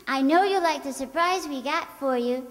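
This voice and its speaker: Woman as very high-pitched chatty little girl. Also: normal speed, natural voice. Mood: innocent, rambling. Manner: childlike, rambling, earnest. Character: chatty, persistent little neighbour girl, fond of tall tales. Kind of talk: old-time radio comedy acting. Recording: echoey hall stage recording.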